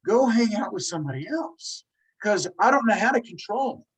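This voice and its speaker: A man's voice: sits high, lively intonation, husky, low-quality recording. A man in his forties who stutters, hosting a podcast and speaking in an earnest, emphatic casual monologue. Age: 40s. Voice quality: stutters with repeated sounds. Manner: earnest, emphatic, quick bursts. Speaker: podcast host.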